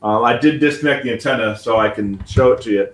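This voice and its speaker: A man's voice: medium pitch, quick pace. An American man hosting a live hobby show in a casual, rambling, anecdotal way. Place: indoor room at a desk. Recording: echoey room.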